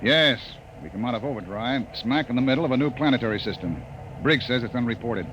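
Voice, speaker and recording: An actor playing a brusque, impatient space freighter captain in 1950s radio drama style. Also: male voice, mid-range voice, animated, fast talker, close to an everyday voice. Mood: brisk, commanding. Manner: brusque, commanding. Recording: dull, noisy 1950 radio recording.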